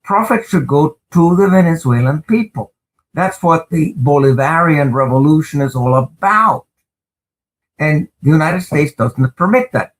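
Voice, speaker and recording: Measured older man, Spanish native, halting. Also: a little high, animated, rough recording. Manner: measured, frequent "uh" pauses, emphatic. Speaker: guest, international lawyer. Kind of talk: interview answers, recounting experiences.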